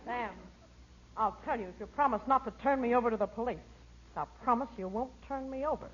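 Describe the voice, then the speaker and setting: A woman's voice: fairly high pitch, a little fast, background noise, natural voice. A woman playing a coquettish female smuggler in a 1940s radio comedy detective spoof, gushing and melodramatic, on a muffled vintage recording. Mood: pleading.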